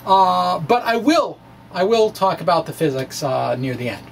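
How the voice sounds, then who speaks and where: A man giving an informal, halting reading of his science blog, with wry conversational asides. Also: sits high, creaky. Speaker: science blogger and presenter. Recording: distant mic with faint background noise.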